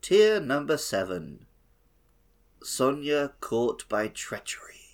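A man's voice: medium pitch, sing-song, slow, soft background music. A man co-hosting a gaming podcast in a casual, jokey, conversational style. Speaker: podcast co-host.

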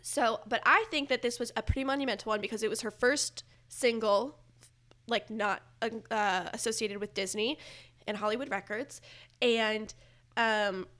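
Higher-pitched woman chatting casually. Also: fairly even intonation, moderate pace, a bit bright. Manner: conversational, heavy use of "like". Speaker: podcast guest presenting ranked music video picks. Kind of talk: casual chat about pop music.

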